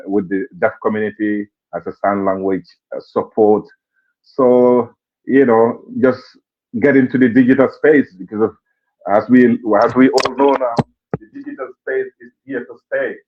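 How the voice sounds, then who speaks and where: A man from Gambia introducing himself on a live online panel, relaxed with hesitant fillers. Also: medium pitch, unhurried, slight background noise. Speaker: panel guest. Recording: tinny phone-like call audio.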